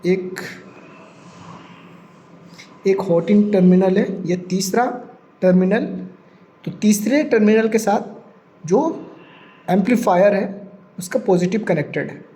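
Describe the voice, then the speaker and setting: A man's voice: sits high, slow, long pauses, dark tone. A man presenting a practical tech demonstration step by step, repeating points and mixing English terms into his speech.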